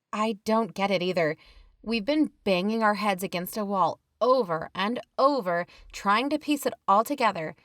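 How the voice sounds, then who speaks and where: A woman's voice: medium pitch, fairly bright tone, dry recording, studio quality, lightly acted. A woman acting as a caring, level-headed peacemaker in an audio drama, speaking in a plain, conversational way. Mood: exasperated, practical. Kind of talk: scripted audio fiction dialogue.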